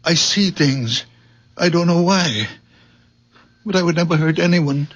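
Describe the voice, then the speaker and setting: A man acting in a radio drama as a gentle, troubled old village healer, speaking haltingly, pleading and repeating himself. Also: a little high. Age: older. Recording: dull, muffled old radio recording.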